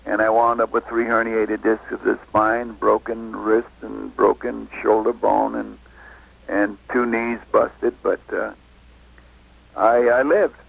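An older man with an American accent casually reminiscing as an interview guest, halting and repeating himself. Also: on the low side, little pitch movement, gravelly, slightly rough, poor audio.

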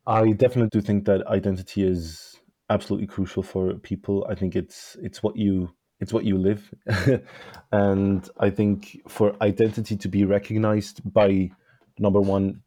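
A man's voice: fairly low pitch, little pitch movement, moderate pace, mellow, dry recording, clean studio sound. A young man from Switzerland, a podcast guest giving earnest opinions and stressing words like "absolutely". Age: young adult. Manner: emphatic, repeats "absolutely".

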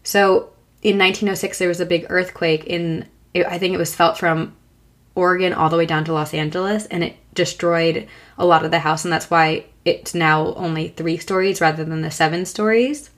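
Hoarse chatty woman podcaster. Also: on the low side, steady tone, normal speed. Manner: chatty, many fillers and restarts. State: hoarse from a cough. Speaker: podcast co-host. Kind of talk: casual chat.

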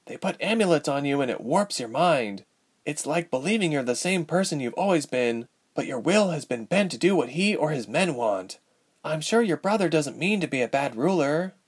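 A young female ice mage, played by a male narrator in a fantasy storybook. Her voice sits high and moves up and down freely. She sounds wary and questioning, with dry humor. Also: lively intonation, normal speed, husky. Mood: sympathetic.

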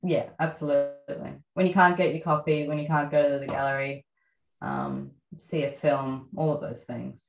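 A low-pitched Australian woman in her thirties recalls things in a reflective, meandering way, pausing often on fillers. Her voice sits in an echoey space and sounds slightly muffled. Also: steady tone, vocal fry. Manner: reflective, many fillers and pauses. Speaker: guest writer. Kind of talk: relaxed interview conversation.

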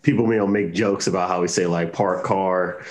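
A man from Southern California with a light Southern California accent, co-hosting a podcast in a relaxed, earnest way. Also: sits low, moderate pace. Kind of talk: casual podcast chat.

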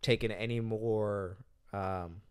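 A man's voice: on the low side, steady tone, takes it slowly, clear, resonant tone. A man hosting a podcast in casual chat, teasing his guest and laughing often. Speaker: podcast host.

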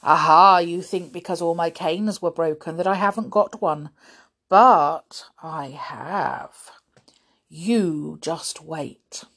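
A woman's voice: medium pitch, big pitch swings, extremely slow, voice pushed up, exaggerated voice. A woman voicing a stern, menacing old male schoolmaster in a storybook, thundering and growling his threats. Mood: angry, threatening.

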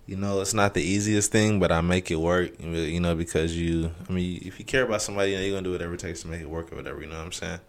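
Very deep-voiced man in his thirties. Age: 30s. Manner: relaxed, reflective, with frequent "you know". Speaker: podcast co-host. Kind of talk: casual chat.

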